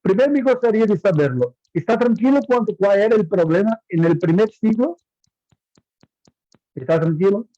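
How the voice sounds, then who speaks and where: A man teaching a Bible course in Spanish as his second language, halting and repeating words as he lectures and asks his students questions. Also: sits high, expressive, warm tone, no echo. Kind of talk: online class lecture. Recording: muffled lo-fi audio.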